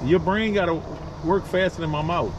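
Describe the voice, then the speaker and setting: A man's voice: sits high, normal speed. A man with a South Louisiana accent joking and teasing as kids fish from a small boat outdoors. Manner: teasing, playful banter. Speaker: adult on a family fishing trip. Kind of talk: casual outdoor chat. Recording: noisy outdoor recording.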